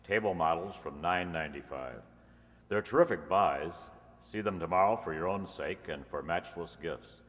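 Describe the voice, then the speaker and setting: Man playing a low-voiced vintage radio announcer. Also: normal speed, fairly bright tone. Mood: salesmanlike. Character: polished male radio announcer and sponsor pitchman. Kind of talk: old-time radio announcing. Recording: echoey hall, long reverb.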